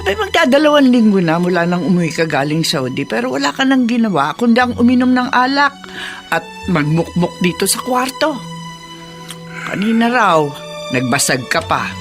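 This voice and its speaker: A woman's voice: a little high, very animated, moderate pace, faint music behind. An actress playing an older woman, a concerned and firm mother and grandmother, motherly and admonishing, in a radio drama. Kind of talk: Tagalog radio drama acting.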